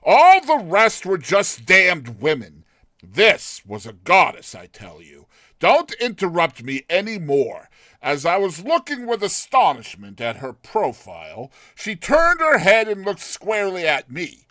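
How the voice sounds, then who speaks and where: A man's voice: high voice, huge pitch swings, unhurried, sharp tone, no room sound, pitched above the performer's own voice, exaggerated voice. A man voicing a lovestruck, impatient young man in a dramatized short story, with an effusive, emphatic delivery.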